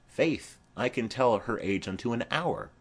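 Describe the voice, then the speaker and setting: A man's voice: mid-range voice, expressive, measured pace. A man voicing a garrulous, fond old female nurse in a Shakespeare play, rambling and exclamatory. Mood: chatty, emphatic. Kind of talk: amateur-style Shakespeare play reading.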